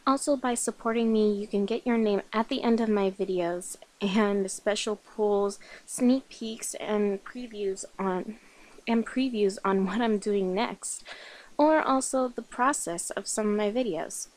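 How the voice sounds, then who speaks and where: A woman's voice: medium pitch, a little slow, darkish tone, low-quality recording. A woman giving a casual, upbeat update to viewers as the host of her own roleplay channel.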